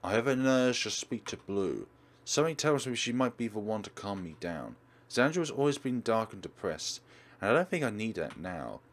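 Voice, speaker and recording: A man's voice: on the low side, big pitch swings, normal speed. A man hosting a let's play, dramatically reading a visual novel aloud and commenting on it.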